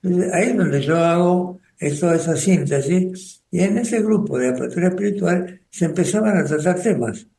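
An older man with a Rioplatense Spanish accent talks about his own past in a radio interview, slow and reflective, often pausing and starting over. His voice sits a little high for a man. The room gives it a noticeable echo. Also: creaky, warm tone. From Argentina. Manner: slow, thoughtful, with pauses and restarts. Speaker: spiritual group coordinator and radio guest.

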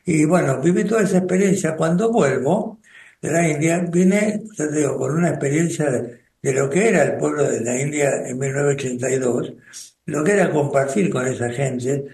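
An older man with a Rioplatense Spanish accent talks about his own past in a radio interview, slow and reflective, often pausing and starting over. His voice sits a little high for a man. The room gives it a noticeable echo. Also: gravelly, darkish tone. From Argentina. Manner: slow, thoughtful, with pauses and restarts. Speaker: spiritual group coordinator and radio guest.

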